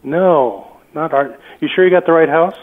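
A man's voice: on the high side, normal speed. A man on a phone line, questioning firmly and incredulously as a homeowner in conversation. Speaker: homeowner receiving a prank call. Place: on a phone call. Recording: tinny narrowband phone line.

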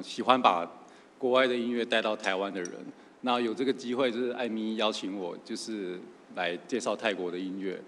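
A man's voice: fairly low pitch, a bit bright. A man with a Taiwanese accent giving an informal, slightly rambling acceptance speech at a stand microphone. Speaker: music promoter accepting an award. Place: on stage in a large theatre. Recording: echoey auditorium, audible noise.

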